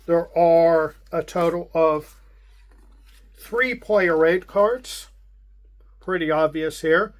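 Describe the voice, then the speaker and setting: A man with a Pittsburgh accent, hosting a casual unboxing and walking through the contents in a measured way with frequent pauses. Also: fairly high pitch. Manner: unhurried, measured, with frequent hesitations. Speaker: wargame channel host.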